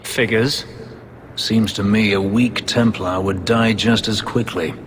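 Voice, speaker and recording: An actor playing a sceptical, dryly impatient fighter in a video game. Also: male voice, lowish voice, steady tone, slow, fairly bright tone. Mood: dry, unimpressed. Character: sceptical, unimpressed male fighter, dry and impatient. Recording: long reverb, faint noise.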